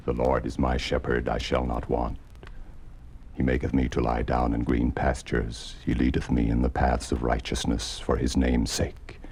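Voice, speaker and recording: An American actor playing a calm, devout reverend in a 1950s radio drama, speaking solemnly and gently. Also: male voice, very deep voice, moderate pace, breathy edge. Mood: solemn prayer. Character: calm, devout reverend comforting a dying friend. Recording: noisy old 1950s radio recording.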